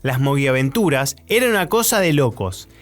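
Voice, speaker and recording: A man's voice: mid-range voice, expressive, moderate pace. A man with an Argentine accent delivering scripted documentary narration.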